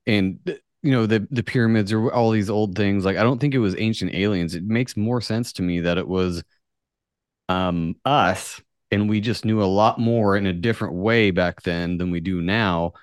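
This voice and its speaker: A man's voice: lowish voice, normal speed, no room sound, studio quality. A man hosting a podcast, talking casually and musing speculatively, with some offhand swearing. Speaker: podcast host. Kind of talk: casual conversational podcast talk.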